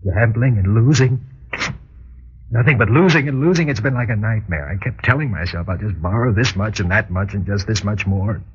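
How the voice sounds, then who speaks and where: An actor playing a nervous, guilt-ridden husband in an old radio drama, with a husky voice and a halting, stammering delivery. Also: male voice, fairly low pitch, lively intonation. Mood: desperate, confessing. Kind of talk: mid-century radio drama acting. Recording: dull, lo-fi old radio recording.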